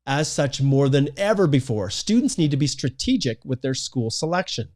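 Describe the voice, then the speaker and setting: A man's voice: mid-range voice, big pitch swings, moderate pace, darkish tone. A man, an immigration consultant reading a scripted explainer, delivering a numbered list with emphatic energy. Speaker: immigration consultant presenting a video.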